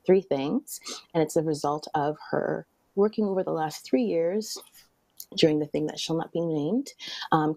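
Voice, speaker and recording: A woman's voice: low-pitched, vocal fry, mellow, no echo. A woman in her thirties co-hosting a panel in a casual way, speaking on a headset over a video call. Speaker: guest co-host. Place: indoors, plain-walled room. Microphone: earphone headset on video call.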